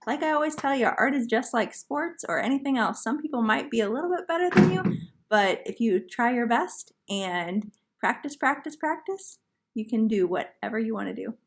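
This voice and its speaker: Expressive, higher-voiced woman reading to children. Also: normal speed. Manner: encouraging, addressing young children. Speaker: elementary art teacher. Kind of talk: children's storybook read-aloud.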